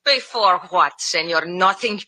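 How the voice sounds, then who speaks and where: Fiery Latin femme fatale, sharp-voiced. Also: female voice, on the low side, a little slow. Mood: menacing. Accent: Spanish. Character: fiery, dangerous Latin cafe woman, proud knife-wielder. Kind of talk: 1950s radio drama acting. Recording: faintly noisy old radio recording.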